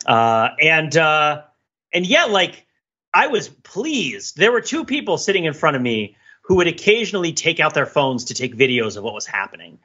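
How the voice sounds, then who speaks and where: A man with a forceful, bright and slightly high-pitched voice co-hosts a casual podcast. He talks in a wry, wordy, analytical way. The audio is a little dull and compressed. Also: big pitch swings, moderate pace, husky. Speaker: podcast co-host. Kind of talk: casual conversational podcast talk.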